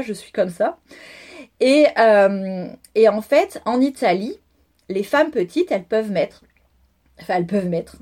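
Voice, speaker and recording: A woman's voice: mid-range voice, a little mellow. A woman from France coaching listeners on style, talking informally with many fillers and repetitions. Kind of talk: podcast monologue.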